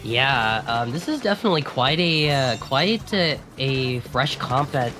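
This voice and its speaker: A man with a raspy voice, hoarse from a cold, commentates a video game tournament. He talks in a hesitant, halting way, full of fillers and restarts. Faint game music plays behind him. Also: mid-range voice, animated. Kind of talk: esports commentary.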